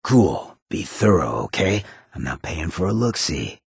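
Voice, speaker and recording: A sardonic ghoul outlaw from a video game, with a heavily rasping, creaky voice. He is sly, laid-back and streetwise, a swaggering town boss who talks in slang. The sound is close and dry. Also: sits low, sing-song, a little slow, dry recording, heavily acted. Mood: wry bargaining. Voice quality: gravelly, raspy. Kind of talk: video game companion voice lines.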